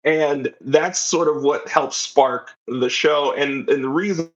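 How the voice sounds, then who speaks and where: A man's voice: fairly high pitch, slow, muffled recording. A man talking casually as an interview guest, explaining things in a rambling, enthusiastic way. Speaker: interview guest, writer of an audio drama.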